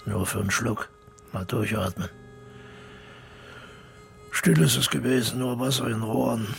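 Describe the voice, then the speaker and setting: A man's voice: medium pitch, unhurried, creaky, fairly bright tone, faint music behind. An actor playing a bitter older widowed man in a radio drama, blunt and colloquial, speaking in terse fragments. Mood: brooding, reminiscing. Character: gruff, bitter old widowed drinker defending his friend. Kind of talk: naturalistic radio drama dialogue.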